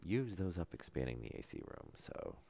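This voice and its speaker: A man calmly talking through a survival game as he plays, low-key and unhurried, with no put-on enthusiasm. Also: vocal fry. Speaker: gameplay commentator. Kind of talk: casual let's-play commentary.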